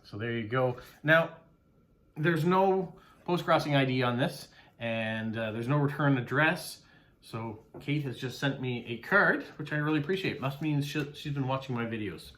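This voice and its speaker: A man's voice: medium pitch, moderate pace, a bit bright. A middle-aged Canadian man, a mail carrier, chatting casually as he unboxes postcards, thanking each sender with many "uh" fillers.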